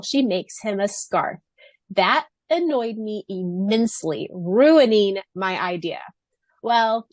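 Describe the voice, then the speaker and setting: A woman's voice: mid-range voice, dry recording. A woman co-hosting a fan podcast, chatting casually and reading aloud. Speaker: podcast co-host.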